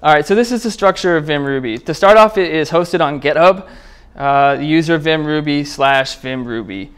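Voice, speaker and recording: Male tech presenter, smooth and clear, slightly higher-pitched, conversational and a bit hesitant, talking a meetup group through code. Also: normal speed, fairly bright tone. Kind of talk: casual technical talk with live demo. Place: indoor meetup room, speaking before a small group.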